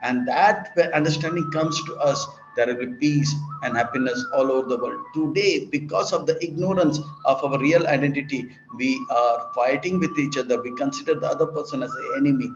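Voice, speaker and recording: A man's voice: mid-range voice, brisk, hardly any pauses, music in the background. Man preaching in an echoey hall. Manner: repetitive, list-like emphatic preaching. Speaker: monk and preacher. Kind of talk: sermon with acted dialogue. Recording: roomy, dull lecture recording.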